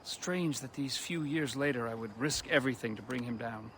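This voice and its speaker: An actor playing a determined revolutionary leader in a video game, speaking in an earnest, oratorical way. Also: male voice, medium pitch, moderate pace, fairly bright tone, a little hiss or hum, close to an everyday voice. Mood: grave resolve. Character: determined male revolutionary leader plotting against a tyrant.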